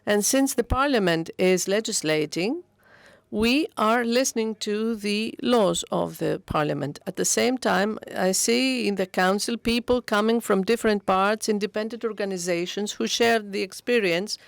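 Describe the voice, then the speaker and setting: A woman's voice: medium pitch, normal speed, vocal fry, dry recording. Woman interpreter, dry close sound. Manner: steady, with frequent hesitations and self-corrections. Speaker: conference interpreter. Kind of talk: simultaneous interpretation into English.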